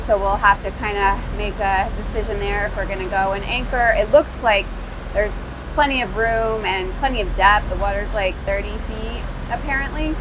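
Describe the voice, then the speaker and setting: A woman's voice: mid-range voice, noisy background. Upbeat woman vlogging on a boat. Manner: upbeat, chatty. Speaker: sailing vlogger. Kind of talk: casual vlog to camera. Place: aboard a sailboat at sea. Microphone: handheld camera at arm's length.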